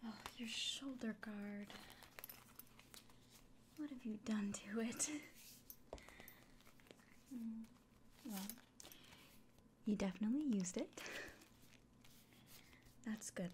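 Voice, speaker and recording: A woman playing a kindly village innkeeper in a fantasy ASMR roleplay, speaking in a soft, breathy whisper with gentle, hesitant pauses. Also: fairly high pitch. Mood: gently fussing. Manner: gentle, unhurried, hesitant pauses, fussing over the listener. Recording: close soft recording with clear background noise.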